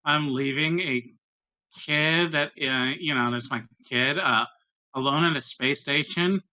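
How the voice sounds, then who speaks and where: Very bright, higher-pitched man, wry gamer. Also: measured pace, rough recording. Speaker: tabletop game player. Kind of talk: casual joking game chat.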